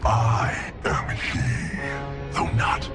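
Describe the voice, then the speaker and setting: An actor playing a corrupted, fanatical warrior of chaos, ominous and declamatory, in a video game cutscene. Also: male voice, drawn-out words. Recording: loud music over the voice.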